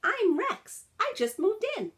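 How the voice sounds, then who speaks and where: A friendly young storybook dog, voiced by a woman reading aloud, speaks in a high, chirpy voice. He sounds eager and bouncy, pitched well up. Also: sing-song, slow, fairly bright tone, heavily acted. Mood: cheerful, friendly.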